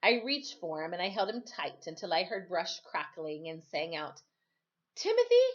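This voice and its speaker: A woman reading a storybook aloud like a teacher, narrating expressively and pausing to explain. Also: lowish voice, a bit bright, highs cut off.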